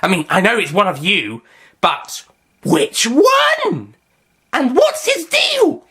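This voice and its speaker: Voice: lively intonation, brisk. A person voicing a ranting, villainous male time-lord who shrieks and cackles. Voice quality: shrill, shouty, cackling.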